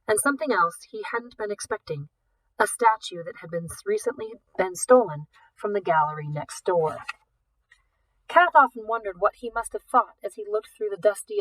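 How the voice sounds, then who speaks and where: A woman reading a novel aloud in an expressive, deliberate storytelling manner. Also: mid-range voice, no room sound, far from the mic, poor audio. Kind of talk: scripted audiobook narration.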